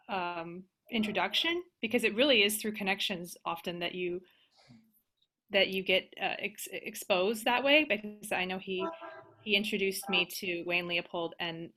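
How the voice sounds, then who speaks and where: Casting: American woman, bright forward voice, reflective and halting, informal panel talk over video call. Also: medium pitch. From United States. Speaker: panelist, organist and composer. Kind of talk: casual panel discussion.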